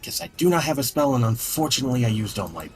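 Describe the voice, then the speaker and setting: A man's voice: medium pitch, big pitch swings, very fast, a little mellow, soft background music. A man chatting casually and bluntly as a player in a tabletop role-playing game.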